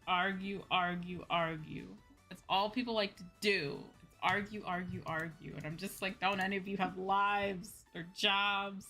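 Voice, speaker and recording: Bright-voiced woman chatting casually on stream. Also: medium pitch, fairly even intonation, a little slow, hardly any pauses, soft background music. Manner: informal ranting, slangy and profane. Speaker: VTuber livestream host. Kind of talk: casual livestream chat.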